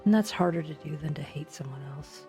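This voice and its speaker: A weary researcher played by a woman speaks gravely and deliberately in a low voice. She sounds sombre yet resolute, as in a game drama, with faint music underneath. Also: dark tone. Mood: self-reproach. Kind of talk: English fan dub of an anime game.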